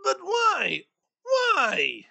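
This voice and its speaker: A man's voice: very high-pitched, huge pitch swings, very slow, gravelly, heavily acted. A man voicing a cheerful, earnest young nephew in a storybook reading, pleading and emphatic. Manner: warm-hearted, pleading and emphatic.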